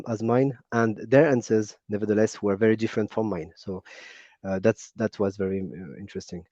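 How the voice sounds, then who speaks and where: A man's voice: mid-range voice, warm tone, dry recording. Hesitant French man on a muffled call. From France. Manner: hesitant, many fillers and restarts. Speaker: caller. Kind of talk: casual call-in conversation. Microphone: video call.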